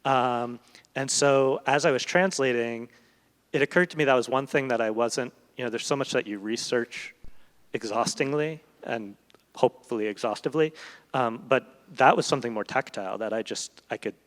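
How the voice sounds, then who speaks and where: A man's voice: mid-range voice, moderate pace. A man speaking as a literary translator and guest in an on-stage conversation, thoughtful and digressive, often searching for his words. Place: large hall, public event. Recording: reverberant hall.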